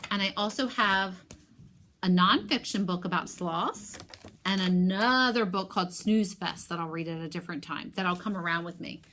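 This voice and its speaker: Expressive woman teacher reading to children. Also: mid-range voice, a little hiss or hum. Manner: expressive, with emphatic pauses. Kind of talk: animated picture-book read-aloud and talk to pupils.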